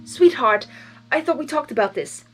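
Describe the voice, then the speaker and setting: Woman plays possessive male chaos creature for cartoon fanfic, emphatic, coaxing, blustery, raised pitch, music behind. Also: animated, moderate pace. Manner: loud, coaxing, nervous bluster.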